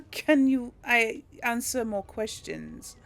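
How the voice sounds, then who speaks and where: A woman's voice: a little high, extremely slow. A woman giving casual commentary as she role-plays through a video game, thinking aloud hesitantly. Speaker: video game streamer role-playing for an audience.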